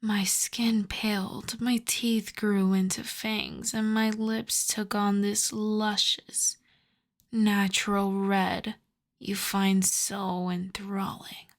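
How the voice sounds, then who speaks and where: A seductive vampire countess played by a woman speaks in an intimate ASMR roleplay, drawing her words out very slowly. She slips between soft voice and half-whisper, teasing and possessive. Her commands come wrapped in endearments, with playful menace underneath. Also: mid-range voice, flat delivery.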